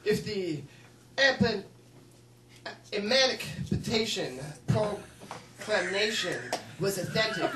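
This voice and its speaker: A man performing a fiery male political preacher-orator in a comedy monologue, with emphatic, repetitive rhetoric. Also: high voice. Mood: emphatic, indignant. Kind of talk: mock political oratory from a film. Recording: roomy, distant mic, faint noise.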